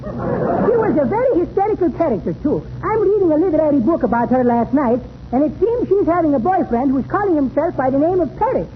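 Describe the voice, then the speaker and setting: Casting: cheerful, excitable Greek word-mangler, 1930s radio comedy, Greek accent, extremely high pitch, tinny vintage recording. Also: male voice, brisk, slight background noise. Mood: storytelling, breezy. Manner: full of malapropisms, garbled grammar.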